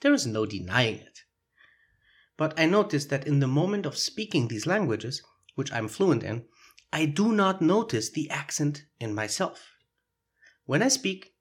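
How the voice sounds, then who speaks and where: A man hosts his own podcast alone, speaking English with a foreign accent. His voice is husky. His pitch moves a lot, and he explains things in a reflective, thoughtful way. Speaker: podcast host. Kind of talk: solo podcast monologue.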